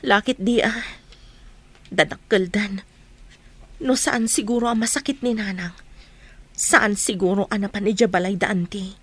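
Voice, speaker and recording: A woman's voice: medium pitch, a little slow, pauses often, slightly rough. A woman acting a hurt, dutiful eldest sister with some resentment in an Ilocano radio drama. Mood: resentful.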